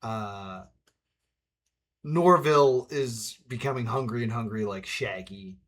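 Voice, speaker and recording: A man's voice: medium pitch, slow pace. A man hosting a let's play with casual, rambling reaction commentary and wry asides.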